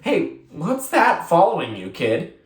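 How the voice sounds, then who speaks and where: Storyteller as self-important young girl with worldly airs. Animated, offhand, slightly echoey storybook read. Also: medium pitch, a little slow, voice pushed up. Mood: teasing. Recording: roomy echo.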